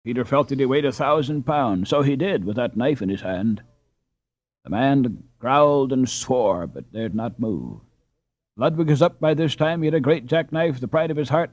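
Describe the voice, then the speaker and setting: A man narrating an audiobook adventure in a suspenseful, expressive, dramatic storytelling style. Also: mid-range voice, no room sound.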